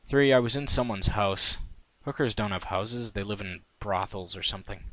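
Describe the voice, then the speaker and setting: A man narrates a first-person comic story in a fairly low voice. His delivery is wry and conversational, like an amateur author reading his own work. The recording is close and dry. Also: moderate pace, fairly bright tone, no room sound. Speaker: reader of own fan fiction.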